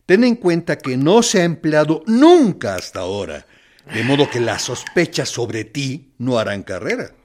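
An actor playing a suave, menacing military interrogator in a radio drama, theatrical, falsely kind and persuasive as he coaxes and threatens. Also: mid-range voice.

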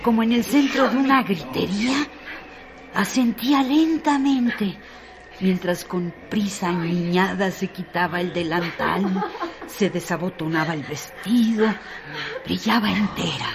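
A woman, reading scripted narration for a radio drama. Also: on the low side. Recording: clearly noisy radio drama track.

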